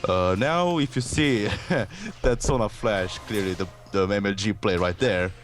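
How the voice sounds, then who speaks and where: A man's voice: lowish voice, a little slow, faint music behind. A man giving casual, wry play-by-play commentary on a video game.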